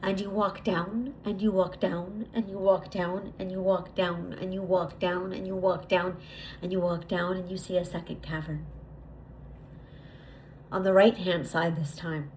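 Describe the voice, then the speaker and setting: A woman leading a guided visualization, speaking to the listener in a deliberate, repetitive way with lingering pauses. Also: lowish voice, level tone, darkish tone, a little hiss or hum, rough recording. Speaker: guided meditation leader.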